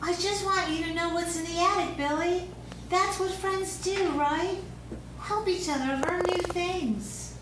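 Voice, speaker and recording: A woman's voice: extremely high pitch, measured pace, a bit bright. A woman playing a sly, coaxing nine-year-old imaginary boy at an amateur script read. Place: echoey room, recorded from a distance. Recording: very echoey room, distant mic, hiss.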